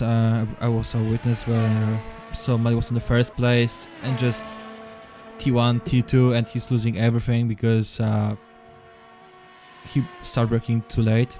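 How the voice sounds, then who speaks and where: A man with a Polish accent calls a sim race live in hesitant, halting English, repeating words as he goes. His voice sits in a middle pitch, and soft music plays faintly under the car sounds. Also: level tone. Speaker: sim racing commentator. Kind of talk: live esports race commentary.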